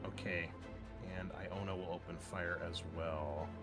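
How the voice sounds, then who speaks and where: Guy GMing a tabletop rpg, thinks out loud, kinda halting, music going pretty loud behind him. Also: sits low, fairly even intonation. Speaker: game master. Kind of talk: running a tabletop roleplaying game, explaining rules.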